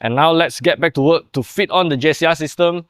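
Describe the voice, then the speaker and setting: A man's voice: on the high side, brisk, fairly bright tone, smooth, no echo, studio quality. A young man explaining and demonstrating in the style of a car product showcase. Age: young adult. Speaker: presenter of a car workshop video.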